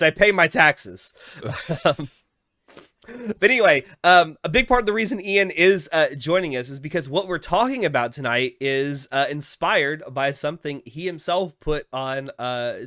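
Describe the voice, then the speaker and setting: A man's voice: on the high side, expressive, a little slow, pushed and bright, no room sound. A man hosting an anime podcast from home over a video call, chatty and laughing often, while sick with a cold. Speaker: podcast host. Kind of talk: casual podcast chat. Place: home room with anime posters. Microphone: studio mic on boom arm, headphones, video call.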